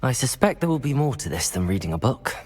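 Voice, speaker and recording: A man's voice: mid-range voice, big pitch swings, fast, a little mellow, husky. An actor playing an earnest, curious young male wizard student in a video game. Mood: curious, wary.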